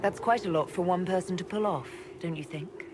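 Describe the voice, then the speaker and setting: An actress playing a composed, level-headed female leader in a fantasy video game, calm and plain-spoken. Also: on the low side, brisk, noisy background, natural voice. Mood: calm, questioning. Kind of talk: video game dialogue acting.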